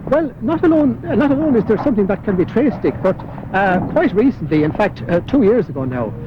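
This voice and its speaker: A man's voice: high-pitched, big pitch swings, fairly quick. Hesitant Irish man with street noise behind. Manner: hesitant, frequent uh fillers. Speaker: local historian. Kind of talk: walking interview. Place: outdoors in city streets. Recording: muffled 1980s broadcast with street noise.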